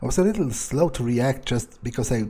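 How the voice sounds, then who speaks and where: A man playing a wary, ruthless vampire on the hunt, thinking aloud in halting, hesitant phrases over a video game. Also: mid-range voice, animated, dark tone, husky. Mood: wary, searching. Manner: musing, hesitant, halting self-corrections. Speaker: let's player role-playing a game character. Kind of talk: in-character improvised gameplay narration, thinking aloud.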